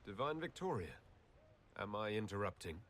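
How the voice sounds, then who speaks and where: A man's voice: fairly low pitch, big pitch swings, moderate pace, a bit bright. A man playing a composed, polite heroic leader in a video game, courteous and plain-spoken. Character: polite, composed male military-order leader, hero. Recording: faint background ambience noise.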